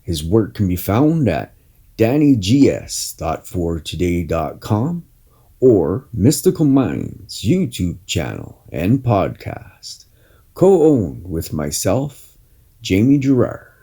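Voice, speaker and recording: Slow, mellow low-voiced man reading inspirationally. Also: very animated, husky. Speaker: podcast host and reader. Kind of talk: scripted narration, inspirational article reading. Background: soft plucked guitar music.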